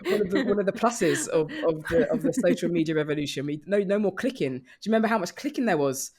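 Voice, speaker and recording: A woman's voice: fairly low pitch, expressive, fast, a crowd in the background. A woman guest on a comedy podcast, ranting casually in an opinionated, mock-exasperated manner.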